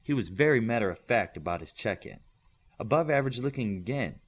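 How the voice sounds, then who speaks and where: A man's voice: on the low side, expressive, fairly quick, husky. A man narrating a scripted fiction audiobook, reading a story aloud.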